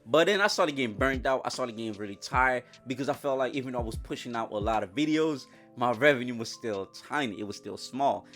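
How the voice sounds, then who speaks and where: Young man from Congo, French native, upbeat vlogger, lots of slang, middle pitch, faint music bed. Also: fairly quick. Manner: energetic, slangy, addresses viewers directly. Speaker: YouTuber. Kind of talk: casual motivational vlog talk.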